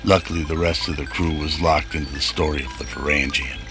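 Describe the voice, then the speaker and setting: Super deep guy narrating a sci-fi radio play, music going behind him. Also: fairly even intonation, fairly quick. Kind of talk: scripted radio drama narration.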